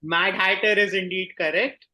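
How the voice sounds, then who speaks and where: A man acting as quizmaster, reading out questions and confirming answers in a formal, measured way. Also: sits high, fairly even intonation, pushed and bright. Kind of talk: reading quiz questions in an online quiz game.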